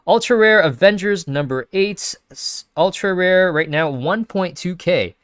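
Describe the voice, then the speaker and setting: A man's voice: high voice, lively intonation, measured pace, a bit bright, clean tone, dry recording, studio quality. Sniffly man rambling through a screen share. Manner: rambling, thinks aloud with many fillers and restarts. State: sniffly, sneezing. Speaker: crypto video host. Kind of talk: casual screen-share commentary.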